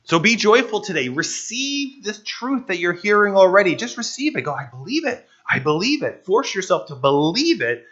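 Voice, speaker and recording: A man preaching a sermon, playful and animated, his voice a little rough from allergies. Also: normal speed, few pauses, dull audio. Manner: animated, playful, jumps to high excited pitch. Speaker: pastor. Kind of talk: sermon with live interpretation.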